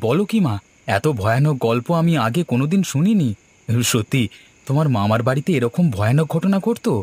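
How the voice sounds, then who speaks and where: A man's voice: medium pitch, big pitch swings, normal speed, darkish tone, breathy edge. A man voicing a curious son in a cartoon, questioning and impressed by scary stories. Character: curious son listening to mother's ghost stories. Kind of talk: animated story dialogue.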